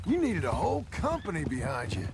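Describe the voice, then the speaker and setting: An actor playing a gruff, dryly wry American rancher and gunslinger in a Western video game, with a gravelly voice. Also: male voice, a little high, huge pitch swings, fairly quick, background noise, full character voice. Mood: dry, amused.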